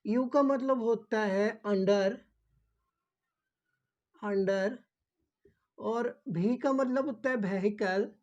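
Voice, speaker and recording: A woman's voice: mid-range voice, drawn-out words, long pauses, a little mellow, dull audio. A woman instructor giving an informal explanatory lesson, repeating key points and answering her own questions.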